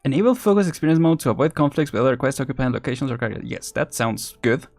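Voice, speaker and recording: Male game streamer, mid-pitched, casual and rambling, thinking aloud with music behind him. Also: animated, rapid-fire. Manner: rambling, chuckling, thinking out loud. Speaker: live streamer.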